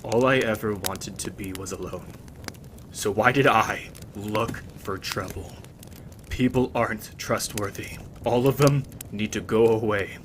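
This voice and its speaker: Man as a slow, grim fantasy bard. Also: fairly low pitch, background noise, lightly acted. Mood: grim, brooding. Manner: grim, dramatic, deliberate. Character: grim lone male fantasy bard recounting his bloody past. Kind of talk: scripted first-person fantasy narration.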